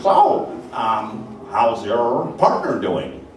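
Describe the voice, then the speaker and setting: A man's voice: on the low side, sing-song, very slow, full character voice. Man acting awkward cop in echoey hall. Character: awkward, excitable, chatty male police officer. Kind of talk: comic stage radio play acting. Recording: very echoey hall, distant, faint noise.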